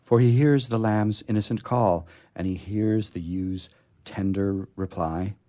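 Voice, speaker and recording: A man with a very low voice presents poetry on a radio program. His verse reading is measured and solemn, and his commentary around it is informal and conversational. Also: warm tone. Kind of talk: poetry recitation with casual commentary.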